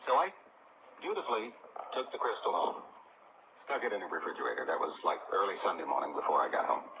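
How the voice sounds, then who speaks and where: Deep, quick-talking American man, noisy old radio. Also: sing-song. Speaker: radio show host. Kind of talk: casual late-night radio chat. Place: live radio broadcast. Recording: muffled phone-like 1980s radio tape, very noisy.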